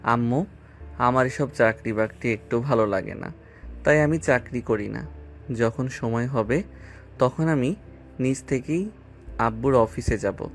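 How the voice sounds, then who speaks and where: A man's voice: mid-range voice, measured pace, pauses often, close to an everyday voice. A man playing a cocky, carefree young student boyfriend in an audio love story, with a casual, offhand manner. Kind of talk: acted dialogue in an amateur audio love story. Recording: voice over background music.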